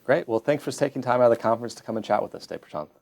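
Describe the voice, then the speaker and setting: A man interviewing a guest at a conference, asking questions in a brisk, friendly manner. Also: medium pitch, animated, gravelly, a little mellow. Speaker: interviewer, PhD candidate. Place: conference venue.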